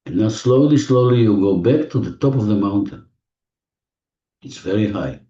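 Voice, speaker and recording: A man leading a guided visualization in non-native English phrasing, giving gentle, repetitive instructions with deliberate pauses, recorded muffled and distant in an echoey room. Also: fairly low pitch, lively intonation. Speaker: guided meditation leader. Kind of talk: guided visualization, spoken slowly to a listener.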